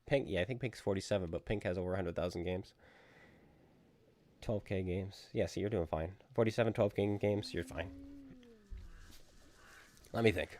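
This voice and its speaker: A man's voice: deep voice, normal speed, long pauses, a little mellow. A man from New England with a plain American accent, chatting with viewers while he streams a tank game. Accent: plain General American, Boston trained out. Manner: chatty, talks to viewers by name. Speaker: gaming streamer. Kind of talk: casual chat while playing a tank game, reading chat.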